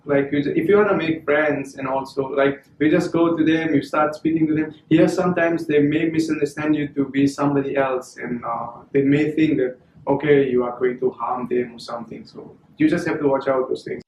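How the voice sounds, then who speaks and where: A man's voice: on the high side, fairly even intonation, fairly quick, darkish tone, slight background noise. Hesitant young man. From South Africa. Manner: hesitant, many "uh"s. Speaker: international student. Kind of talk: documentary interview. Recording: echoey, dull lo-fi room.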